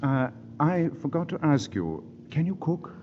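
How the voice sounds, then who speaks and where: An actor playing a doting, good-humored newlywed husband in a 1940s radio comedy, affectionate and drily joking. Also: male voice, on the high side, very animated, a little slow. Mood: affectionate, wry. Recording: noisy, muffled old radio broadcast.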